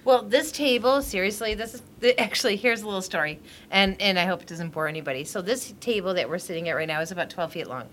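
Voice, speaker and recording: A woman's voice: medium pitch, fast, a bit bright, slight background noise. A middle-aged woman, co-hosting a podcast at her dining table, rambling and laughing often, a little tipsy. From Michigan, USA. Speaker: podcast co-host. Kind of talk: casual chat. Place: at a large dining table at home.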